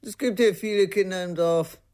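An actor in a radio crime drama playing a wary, nosy village innkeeper, guarded and curt. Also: male voice, high voice, normal speed, a little mellow, no echo, studio quality, natural voice.

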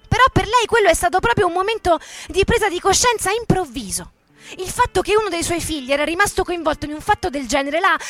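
A woman playing an earnest ageing male metalworker who recalls his militant youth in a halting, conversational, emphatic way, as a radio theatre monologue. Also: very high voice, pushed and bright, voice pushed up, heavily acted. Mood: tender.

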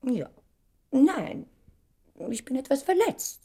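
An actress in a German radio play playing a proud, probing woman who speaks in a deliberate, pointed, dramatic way. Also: high voice, expressive, dark tone. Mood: wounded, reflective. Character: Valerie, proud woman, probing, articulate, emotionally insistent.

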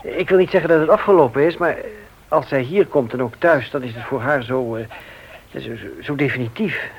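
A man's voice: mid-range voice, fairly quick, highs cut off, natural voice. A man playing a hesitant, earnest family friend in a radio play.

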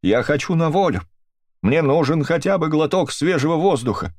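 A man's voice: fairly high pitch, lively intonation, normal speed, a little mellow, no room sound, studio quality, voice pushed up. A man voicing a hysterical young governess who screams to be let out, in a storybook reading. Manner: screaming, desperate.

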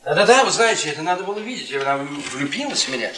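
A henpecked middle-aged history professor with a dry, ironic bite. His delivery swings widely in pitch. The old radio play sound is echoey, off-mic, with crowd noise behind. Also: sing-song, quick pace. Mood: mocking. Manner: ironic, needling. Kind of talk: Soviet radio theatre acting. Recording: echoey, distant radio play, noisy background.

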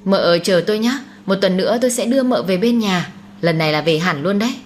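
A woman's voice: medium pitch, a little fast, close to an everyday voice. A woman narrator voices a devoted young man in an audiobook. He speaks earnestly and firmly, as a respectful son and husband. Some noise sits behind the voice. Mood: tender. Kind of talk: dramatised audiobook storytelling.

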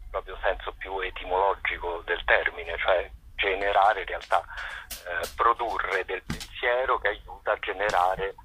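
A man with a very low voice that is bright and forceful. He is being interviewed and explains things off the cuff, haltingly, stretching words and repeating himself as he searches for the next one. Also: fairly even intonation, slightly rough, rough recording. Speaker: festival artistic director, interview guest.

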